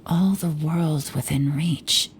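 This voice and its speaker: A woman playing a cool, composed, shrewd investigator in a video game, measured and self-assured, with faint ambience behind. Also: deep voice, fairly even intonation, slight background noise. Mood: solemn. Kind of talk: video game cutscene acting.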